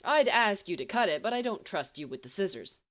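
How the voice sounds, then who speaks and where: Audiobook role: flippant teenage boy played by a woman, easily wounded, mock-grand, swooping expressive delivery. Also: medium pitch, a little fast, pitched above the performer's own voice. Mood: playful, injured. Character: brash, wounded, teasing teenage schoolboy.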